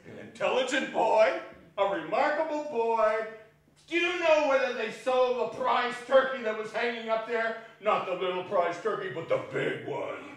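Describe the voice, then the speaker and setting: A man's voice: very high-pitched, moderate pace, a bit bright. A man playing an overjoyed, reformed old man in an exuberant, declamatory stage reading of a Victorian story. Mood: excited delight. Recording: echoey hall, distant microphone.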